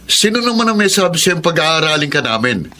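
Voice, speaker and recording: A man's voice: on the high side, very animated, a little fast, sharp tone, a little hiss or hum. A Filipino man playing a cruel, greedy, mocking stepfather in a radio drama, with a sneering, scolding delivery.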